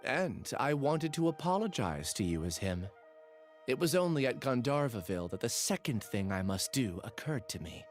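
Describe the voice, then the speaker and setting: A man playing a troubled, earnest young scholar in a video game, speaking in a measured, confessional way. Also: medium pitch, very animated, soft background music, close to an everyday voice. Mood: solemn, resigned. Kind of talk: dramatic game voice acting.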